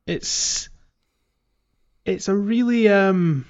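A man's voice: high voice, very slow, clean tone. A man co-hosting a casual video game podcast, chatting informally. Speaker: podcast co-host. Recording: slightly dull lo-fi podcast audio.

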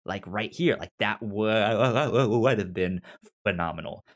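Man with a halting, stuttering delivery. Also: on the low side. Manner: halting stutter, repeats sounds and syllables. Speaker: podcast co-host. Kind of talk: casual TV discussion chat.

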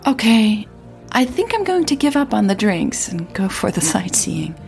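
A woman voicing a curious, wry female tech blogger in an audio drama, with a husky, breathy voice and a questioning manner. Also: mid-range voice, very animated, moderate pace, a little mellow, soft background music, voice pushed up. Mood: hesitant.

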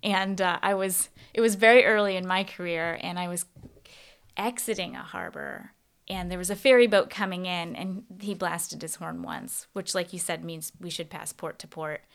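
A woman's voice: fairly low pitch, lively intonation, normal speed, gravelly. A woman hosting a podcast, talking in a casual, engaged, conversational storytelling way. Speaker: podcast host, interviewer.

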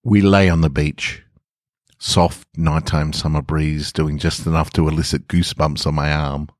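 A man hosting a podcast, reading a short story slowly and reflectively and signing off casually. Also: rumbling low, flat delivery, dry recording, clean studio sound. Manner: slow, quiet, reflective reading. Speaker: podcast host and story reader.

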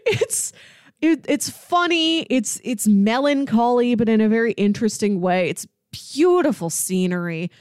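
A woman's voice: on the high side, expressive, unhurried, darkish tone, no room sound, studio quality. A woman co-hosting a film podcast in a casual, conversational style. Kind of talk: casual chat, film recommendations.

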